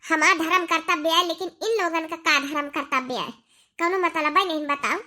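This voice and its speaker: Woman voicing high-pitched plaintive young daughter-in-law, Awadhi accent. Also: steady tone, normal speed, pushed and bright. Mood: aggrieved. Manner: plaintive, complaining. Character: aggrieved, feverish young daughter-in-law pleading for sympathy. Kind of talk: comedy cartoon voice acting.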